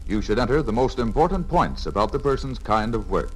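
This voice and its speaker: Animated American man narrating a vintage training film. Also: mid-range voice, fairly quick, a little hiss or hum, low-quality recording. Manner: clear, methodical, instructive. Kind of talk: scripted instructional narration, 1950 filmstrip. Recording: vintage 1950 record, faint music.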